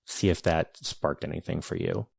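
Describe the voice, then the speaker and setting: A man hosting an interview, talking in a measured, searching way with many restarts and hedges. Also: low voice, fairly even intonation, creaky, dry recording. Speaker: podcast host, interviewer. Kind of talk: thoughtful conversational interview.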